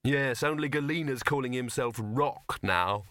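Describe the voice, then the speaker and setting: A mild young man who speaks politely and evenly, his humor kept dry, voiced by a male narrator. A lively, bright reading in a dry, close recording. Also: mid-range voice, unhurried, no room sound, lightly acted.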